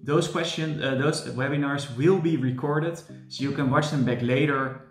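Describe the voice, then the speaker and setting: A man's voice: on the high side, steady tone, moderate pace. A young man, supervisor of a course, earnestly explaining it to camera. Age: young adult. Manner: earnest, explanatory, with frequent "furthermore" and restarts. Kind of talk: promotional talk to camera. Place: room with large windows, indoors. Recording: echoey room with faint music.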